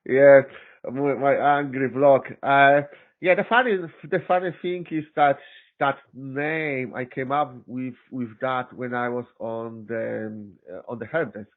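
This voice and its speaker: A man with a Polish accent, a systems engineer chatting as an interview guest, halting with many restarts and fillers. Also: mid-range voice. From Poland. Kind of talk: casual interview chat. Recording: tinny phone-like line.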